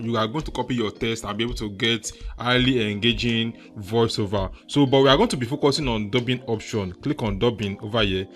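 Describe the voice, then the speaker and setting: A man with a Nigerian accent hosting a screen-recorded software tutorial, chatty and leaning on filler words like "so" and "actually". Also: mid-range voice. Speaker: tutorial host.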